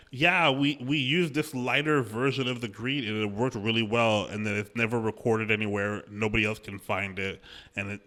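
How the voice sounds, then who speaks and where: A man in his thirties hosting a podcast interview, talking in a relaxed, storytelling way into a close studio microphone in a small office. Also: fairly low pitch. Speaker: podcast host. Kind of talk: casual conversational interview. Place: small office room indoors.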